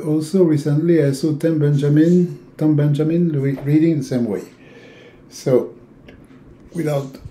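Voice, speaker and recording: A man in his sixties from Europe, a native French speaker with a French accent, giving an informal, halting instructional talk with long hesitations. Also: a little high, dark tone. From francophone Europe. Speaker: tarot teacher. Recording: roomy, echoing indoor sound.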